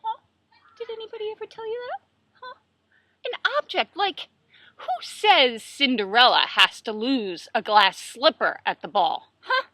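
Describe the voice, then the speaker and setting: A woman's voice: high-pitched, sing-song, a little slow, pushed and bright, no echo. A woman, a children's book author, talking through writing tips in a playful, self-deprecating way at home. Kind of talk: casual tips video.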